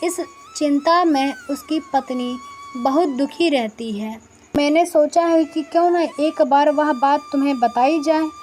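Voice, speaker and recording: Woman doing a scheming village chief from a story, measured and persuasive, high voice, music behind. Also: darkish tone. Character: scheming male village headman, falsely reassuring.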